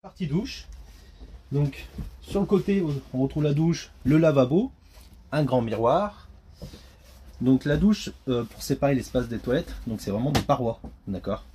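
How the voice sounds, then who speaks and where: Man, thirties, mellow tone, casual vehicle presentation, small van interior, faint background noise. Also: medium pitch, animated, unhurried. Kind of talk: casual product walkthrough. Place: inside a small camper van.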